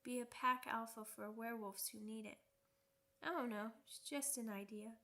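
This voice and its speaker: A woman's voice: fairly high pitch, steady tone, moderate pace, sharp tone. A woman playing a young werewolf pup in an ASMR roleplay, childlike, cheeky and earnest, with pouty, rambling speech. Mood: vulnerable, earnest. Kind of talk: ASMR roleplay audio drama.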